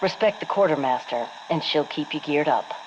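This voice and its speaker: An actress playing a brash, folksy Marine quartermaster with an American accent, delivering sassy, salty patter for a game. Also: female voice, deep voice, animated, normal speed. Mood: brash, cocky. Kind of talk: scripted game monologue. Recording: dull, noisy radio log.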